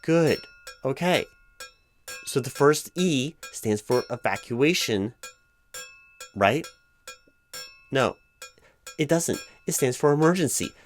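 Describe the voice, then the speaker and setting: A man acting out a flustered, officious hiring manager in a comedic one-sided audio roleplay, halting and stammering as he speaks. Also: medium pitch, animated, faint music behind. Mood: exasperated, bossy. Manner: halting, stammering, fussy and bureaucratic. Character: flustered, exasperated, officious male hiring manager, distracted, apologetic.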